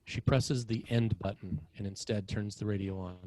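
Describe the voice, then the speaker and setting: Male narrator, slightly low pitch, scripted screenplay stage directions. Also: fairly even intonation, moderate pace, heavy background noise.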